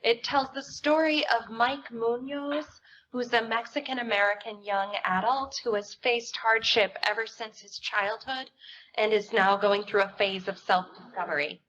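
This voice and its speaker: A woman's voice: fairly high pitch, steady tone, a little slow, fairly bright tone. A woman presenter reading a prepared introduction at a live reading event. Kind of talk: prepared book introduction read aloud. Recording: muffled, noisy live event recording.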